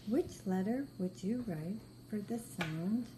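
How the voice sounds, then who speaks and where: A woman's voice: mid-range voice, slow, noisy background. A woman teaching a step-by-step phonics lesson to beginning readers, giving patient, simple instructions with repeated praise. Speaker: reading teacher.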